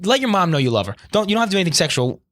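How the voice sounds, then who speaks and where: A brash, jokey man hosting a podcast who talks quickly. His voice sits a bit high for a man. He keeps things upbeat as he banters with his co-hosts. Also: sing-song, a bit bright. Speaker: podcast host. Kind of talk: casual chat.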